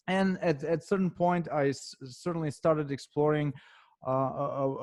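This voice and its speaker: A man in his forties from Ukraine with a Ukrainian accent, answering interview questions in a halting way with many fillers and restarts. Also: mid-range voice, a little mellow, no room sound. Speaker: guest author and consultant.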